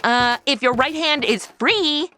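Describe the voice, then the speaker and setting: A woman's voice: high voice, unhurried, pushed and bright, a little hiss or hum. A woman playing a sassy, food-obsessed modern young woman in a comedy audio drama, cracking snarky wisecracks. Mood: demanding.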